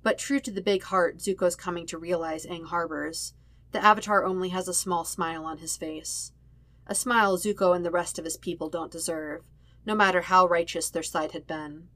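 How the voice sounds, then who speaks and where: A woman's voice: fairly low pitch, steady tone, normal speed, a bit bright, dry recording. A woman reading a story aloud as scripted narration. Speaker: podfic reader of fan fiction.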